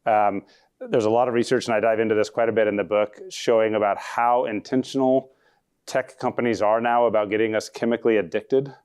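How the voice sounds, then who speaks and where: A man with a deep voice, a law professor answering audience questions at a talk in an earnest, conversational way that often backs up and corrects itself. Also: steady tone, fairly quick, a little mellow, clean tone. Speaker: law professor, panel speaker.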